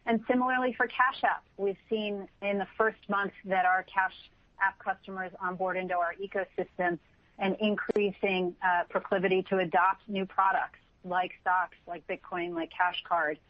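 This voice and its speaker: A woman's voice: mid-range voice, fairly even intonation, moderate pace, dry recording. Woman executive on a muffled conference line. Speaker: company finance executive on an earnings call. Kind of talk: prepared business explanation on an earnings call.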